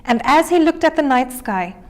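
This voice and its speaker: Lady reading a kids storybook out loud, highish voice, expressive. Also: moderate pace. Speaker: story reader. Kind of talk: animated children's storybook read-aloud.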